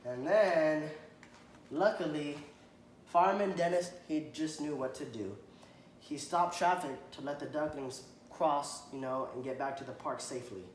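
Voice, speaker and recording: A man reading a children's picture book aloud to a child, stopping to point at the pictures and ask questions, and often clearing his throat. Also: on the high side, unhurried. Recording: echoey room, faint background noise.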